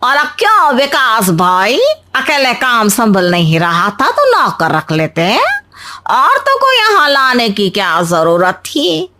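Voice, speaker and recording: A woman's voice: fairly high pitch, huge pitch swings, slow pace, sharp tone, heavily acted. A meddling village man from a moral tale, put on by a woman. He sneers and taunts with sarcasm, like a busybody looking down on others. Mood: sneering.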